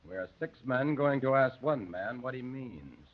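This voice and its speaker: An actor playing a sober, skeptical doctor with a dry, matter-of-fact delivery in a 1930s radio drama. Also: male voice, mid-range voice, expressive, moderate pace, close to an everyday voice. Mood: dry resolve. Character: sober, incredulous male doctor turned detective. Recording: dull 1930s radio recording.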